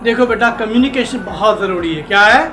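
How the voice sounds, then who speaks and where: A man gives an English lesson in a classroom, slipping English phrases into Hindi and asking his students questions. His voice sits very high. The room is echoey, with faint music underneath. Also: fairly quick, pushed and bright. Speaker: English teacher.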